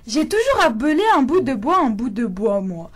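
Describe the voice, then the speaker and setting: A stubborn, literal-minded pianist quibbles in a comic radio sketch, played by a woman. The voice is high. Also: big pitch swings, normal speed.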